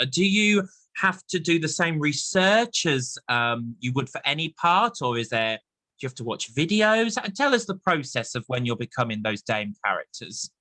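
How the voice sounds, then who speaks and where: A man hosting a casual chat interview in a chatty, animated way. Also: fairly high pitch, dry recording. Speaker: interviewer, chat show host.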